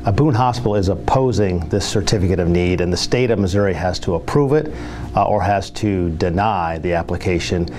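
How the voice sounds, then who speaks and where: A man speaking as a hospital representative, delivering a prepared, persuasive public address in a measured, formal, explanatory manner. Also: deep voice, darkish tone.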